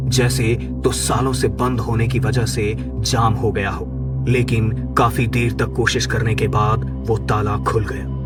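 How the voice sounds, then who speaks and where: A man reading a scripted horror story as narrator, with music behind him. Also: on the low side. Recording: music under the voice. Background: background music.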